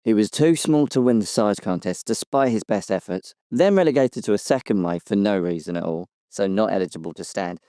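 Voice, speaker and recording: A man's voice: fairly low pitch, brisk, hardly any pauses, dark tone, no room sound, clean studio sound, lightly acted. A British man playing a tiny, aggrieved, boastful cartoon-book character in an audio drama interview, chatty and colloquial.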